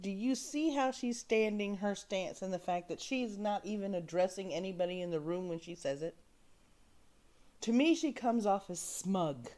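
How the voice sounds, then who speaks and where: A woman's voice: mid-range voice, darkish tone. A woman hosting a reaction show, giving casual, opinionated and critical commentary on a film clip, stressing key words.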